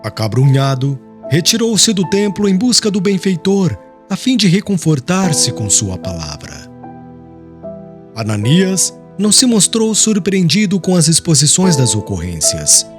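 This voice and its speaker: A man's voice: fairly high pitch, very animated, a little slow, over music. A man narrating a literary audiobook in a scripted, solemn and formal dramatic style.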